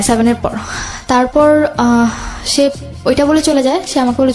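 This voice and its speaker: A young woman with a high voice tells a personal story in an interview. She speaks haltingly, feeling her way through her memories. Music plays clearly behind her. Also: a little mellow. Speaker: guest telling own life story. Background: background music.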